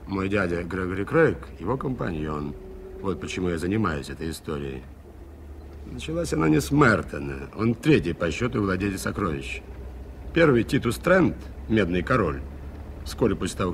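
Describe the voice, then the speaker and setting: A deep, gravelly, skeptical gentleman. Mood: grave, explanatory. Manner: measured, formal, dryly ironic. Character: earnest, skeptical gentleman aviator recounting a mystery. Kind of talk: Soviet radio play acting. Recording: faintly noisy 1980s radio recording.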